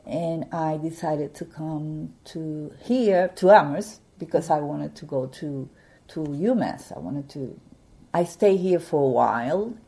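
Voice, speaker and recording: A woman from Puerto Rico speaks in a very low voice, earnest and reflective as she answers interview questions. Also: slow, warm tone. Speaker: interview guest. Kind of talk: conversational interview.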